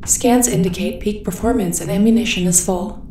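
A woman's voice: medium pitch, moderate pace, mellow, close to an everyday voice. A woman voicing a dutiful female ship AI in a sci-fi audio drama, giving formal, clipped status reports. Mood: formal, composed. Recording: roomy, distant, faint background noise.

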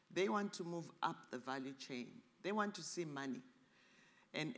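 A woman moderating a panel, introducing speakers to the audience in a lively, teasing way. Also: lowish voice, long reverb tail. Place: studio stage set, seated in an armchair.

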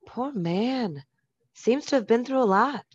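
Affectionate scolding wife, radio play, fairly high voice, dry muffled sound. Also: female voice, lively intonation, measured pace, breathy edge, dry recording. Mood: concerned. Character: fond, fussing middle-aged wife and mother.

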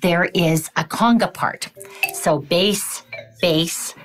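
An older woman music educator leading a webinar demonstration, her voice croaky, often clearing her throat and counting beats aloud. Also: fairly low pitch, slow, rough recording.

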